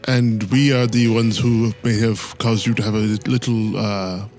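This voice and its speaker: A man speaks in character during a tabletop roleplay, casual and conversational. His voice sits at a middle pitch with faint music beneath it. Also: level tone, measured pace, a little mellow. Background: faint background music.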